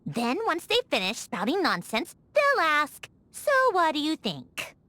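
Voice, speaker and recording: An actress voicing a haughty, prickly young genius lady automaton in a video game, huffy and easily flustered, with a secretly soft heart. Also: high voice, wildly swooping pitch, a little slow, no echo, exaggerated voice. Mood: exasperated, ranting. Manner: haughty, huffy, flustered when caught being kind. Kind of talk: scripted video game character voice acting.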